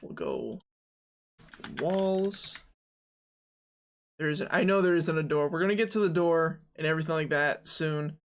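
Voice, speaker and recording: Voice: medium pitch, unhurried, long pauses. A person in their early twenties streaming game development, talking casually and thinking aloud with jokes and frequent fillers. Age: 20s. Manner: joking, rambling, self-talk with fillers.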